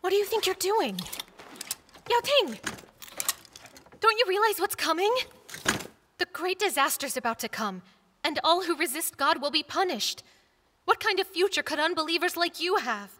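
A woman's voice: extremely high pitch, animated, moderate pace, long pauses, bright, forceful tone, heavily acted. A woman acting a devout, steadfast middle-aged mother in a stage drama, speaking with earnest conviction. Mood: indignant, urgent. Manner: earnest, pleading, preaching. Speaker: stage actress. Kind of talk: earnest stage play acting, English dub. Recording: reverberant stage hall, music behind.

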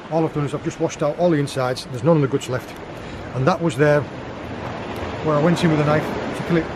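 A man's voice: a little high, steady tone, brisk, background noise. A man in his thirties on a rocky beach, explaining step by step how he prepares seafood while working with his hands. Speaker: guest forager demonstrating cooking. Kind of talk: casual outdoor how-to explanation.

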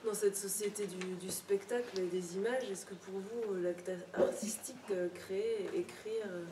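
A woman's voice: medium pitch, fairly even intonation, moderate pace. A young woman student puts a question to a guest at a bookshop talk, part read aloud and part groping with fillers. She sounds distant from the mic in an echoey room with background noise. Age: young adult. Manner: reads and paraphrases, then falters on fillers. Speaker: student interviewer. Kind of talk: asking a prepared question. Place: bookshop event room, indoors. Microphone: table mic on a stand.

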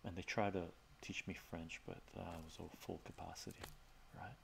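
A middle-aged man, Armenian by birth, chats in a relaxed, rambling way with fillers, as a livestream host in his home study. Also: deep voice, normal speed, gravelly, a little hiss or hum. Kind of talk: casual soft-spoken chat with viewers.

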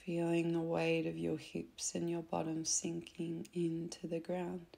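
A woman guiding a meditation in a soft, gentle, unhurried way, with phrase endings that turn breathy. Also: lowish voice, completely flat delivery. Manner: gentle, unhurried, instructing softly with pauses. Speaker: meditation guide. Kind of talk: soothing guided meditation narration.

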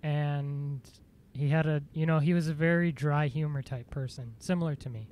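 A man streaming a game, chatting casually in a dry, monotone voice, rambling with fillers and self-corrections. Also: a little high, moderate pace, a little hiss or hum. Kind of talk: casual live-stream chat.

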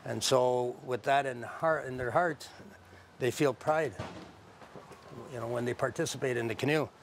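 A middle-aged man explaining his craft informally, in a plain-spoken, halting, reflective way, as a traditional builder. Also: medium pitch, noisy background. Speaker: traditional canoe builder and knowledge keeper. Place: outdoors under a park tent.

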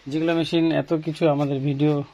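Higher-voiced Bangladeshi man, noisy phone recording. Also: little pitch movement, a little fast, mellow, muffled recording. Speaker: fishing tackle seller. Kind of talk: informal product showcase for customers. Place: small indoor fishing tackle shop. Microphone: handheld phone near the speaker.

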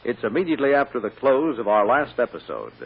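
A man's voice: mid-range voice, sing-song, quick pace, slightly rough. A man announcing an old radio serial, narrating in dramatic, polished phrases. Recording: dull old radio recording.

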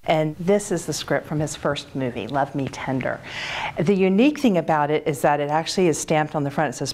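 A woman in her thirties presenting items for an auction in a measured, informative way, with occasional fillers. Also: sits low. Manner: steady, measured, lightly hesitant with fillers. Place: conference room.

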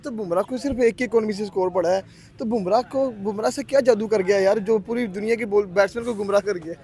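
A man's voice: high-pitched, fast talker, a little mellow. A young man from Pakistan hosting a casual street interview outdoors with a handheld mic, often chiming in to agree. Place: outdoors by a park road.

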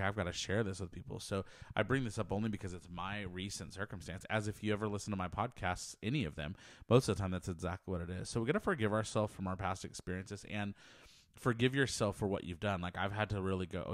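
Man, very deep voice, earnest, rambling, podcast host, close handheld mic, dry sound. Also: fast talker, no room sound. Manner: earnest, rambling, repeats words and restarts often. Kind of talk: personal faith podcast monologue. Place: small home office. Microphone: handheld dynamic mic close to mouth.

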